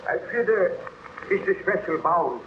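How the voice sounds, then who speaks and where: An actor playing an ill, guilt-ridden, desperate baron in old-time radio drama, melodramatic and archaically formal. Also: male voice, high-pitched, animated, a little slow. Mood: weary, haunted. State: weak, exhausted. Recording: tinny, noisy old radio broadcast.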